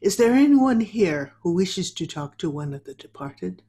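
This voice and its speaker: A woman playing a mysterious, solemn séance medium in a radio play, with formal, drawn-out, incantatory delivery. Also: lowish voice, very animated, dark tone. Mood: solemn trance. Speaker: amateur radio drama player. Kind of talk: old-time radio acting.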